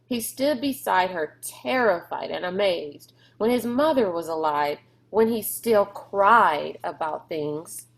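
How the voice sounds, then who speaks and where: Woman, storybook narration, unhurried pace, gravelly creak, read-aloud. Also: mid-range voice.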